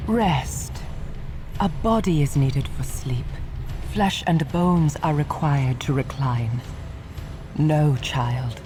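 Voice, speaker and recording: A tormented female specter speaks low and slowly over music. She sounds languid and haunted, mournful and bitter, in the theatrical style of a gothic fantasy game. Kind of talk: dramatic video game voice acting. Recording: music behind.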